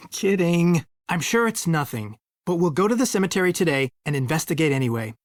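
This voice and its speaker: A woman's voice: on the low side, very animated, moderate pace, no room sound, clean studio sound. A woman playing a skeptical, wry young ghost-hunting partner in a video game. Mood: teasing.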